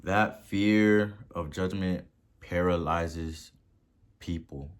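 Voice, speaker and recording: Slow, low-voiced preachy motivational man. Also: steady tone. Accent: Haitian. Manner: preachy, repeats words for emphasis, rising to loud peaks. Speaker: podcast host. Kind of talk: motivational talk.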